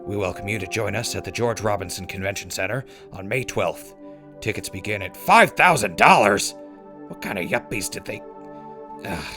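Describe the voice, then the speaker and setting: Man as a low-pitched wry wasteland radio host. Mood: irritated, mocking. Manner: wry, grumbling, informal. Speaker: radio host and news reader. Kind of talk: radio news and ad reads in audio drama. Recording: music behind voice.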